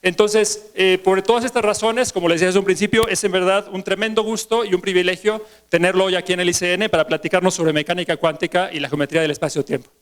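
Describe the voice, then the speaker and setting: A man's voice: sits high, steady tone, fast talker, few pauses. A man formally introducing a guest speaker at a university colloquium, with frequent fillers. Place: university auditorium.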